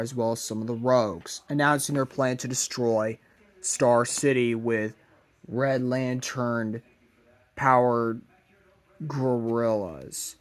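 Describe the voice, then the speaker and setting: Guy doing fan story narration, talks slow and choppy, stops partway thru sentences, mid pitch. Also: fairly bright tone. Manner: halting, drawn-out delivery in short broken phrases. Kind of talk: rambling fan-fiction plot narration.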